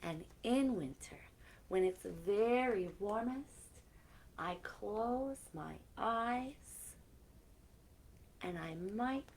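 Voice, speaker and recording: A woman's voice: a little high, expressive, extremely slow, a little mellow. A woman hosting a children's library story time, reading picture books aloud expressively with chatty asides, on a noisy live-stream recording.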